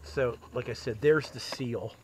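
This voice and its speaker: A man's voice: medium pitch, measured pace, a little hiss or hum, poor audio. A man narrating a casual do-it-yourself repair outdoors, thinking aloud with fillers and restarts, recorded on a head-mounted camera. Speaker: DIY mechanic. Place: outdoors in a yard. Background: crickets and insects.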